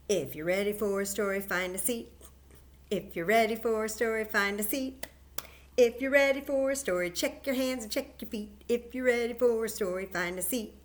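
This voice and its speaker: A woman librarian leading a children's story time, chatting gently and encouraging the kids as she introduces songs. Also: medium pitch, vocal fry. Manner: gentle, encouraging, chatty, singing simple tunes.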